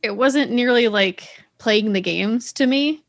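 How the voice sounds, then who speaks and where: A woman's voice: fairly high pitch, measured pace. A woman hosting a casual gaming podcast, quizzing her co-hosts and laughing often. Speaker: podcast host. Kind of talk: casual chat.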